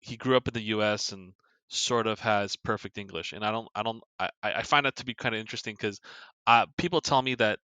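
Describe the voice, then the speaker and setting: Bright, crisp-voiced man rambling casually. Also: on the low side, brisk, dry recording. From Korea. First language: Korean. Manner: rambling, many restarts and fillers. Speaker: podcast co-host. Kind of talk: casual movie chat.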